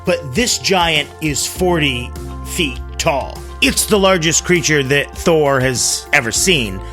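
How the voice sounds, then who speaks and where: Guy telling stories, really animated, bright forceful voice, dramatic but casual, faint music behind. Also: fairly high pitch, slow pace. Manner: dramatic phrasing, conversational commentary. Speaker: host and storyteller. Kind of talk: storytelling narration with casual asides.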